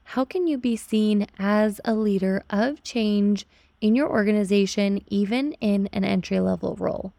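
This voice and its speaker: A woman's voice: medium pitch, normal speed, gravelly, mellow, dry recording. A woman hosting a podcast, upbeat and friendly, reading a scripted intro and then chatting with her guest. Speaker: podcast host. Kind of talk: podcast host interview.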